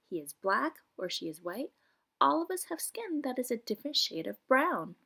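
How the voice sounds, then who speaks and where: A woman reads to young children in the manner of a preschool teacher. Her mid-pitched voice rises and falls with lots of variety as she explains and poses questions from a picture book. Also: fairly bright tone. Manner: clear explanatory reading with questions posed to children. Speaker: preschool teacher reading aloud. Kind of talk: children's nonfiction picture book read-aloud.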